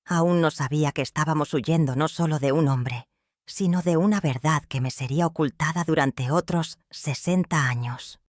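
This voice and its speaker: Brief: older woman, first-person storyteller, solemn and measured, dry recording. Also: low-pitched, no echo. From Michoacán, Mexico. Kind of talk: scripted first-person narration.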